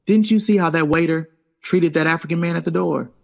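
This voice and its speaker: An American-accented actor playing a bitter, sardonic jazz composer in a period audio drama. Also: male voice, fairly high pitch, fairly quick, creaky. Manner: sardonic, clipped.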